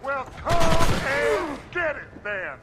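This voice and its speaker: An actor playing an aging American outlaw gang leader in a video game, theatrical and deliberate, given to fatalistic preaching. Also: male voice, extremely high pitch, sing-song, raised voice, over loud music, full character voice. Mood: defiant, taunting. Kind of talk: dramatic video game acting.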